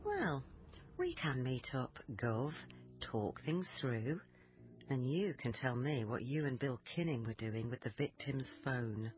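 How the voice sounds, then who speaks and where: An actress with a British accent playing a probing, authoritative police liaison officer in a naturalistic radio drama. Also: female voice, rumbling low, big pitch swings, slow, dull audio, exaggerated voice. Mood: brisk, dry. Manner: pointed, interrogating. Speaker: actor in a radio crime drama.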